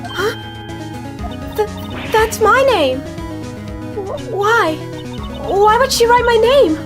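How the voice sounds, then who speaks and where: A woman playing a frightened, grieving teenage girl, fragile and halting, in a dramatic game fandub. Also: extremely high pitch, lively intonation, heavily acted. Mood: shocked, distraught. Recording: music under the voice. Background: game music.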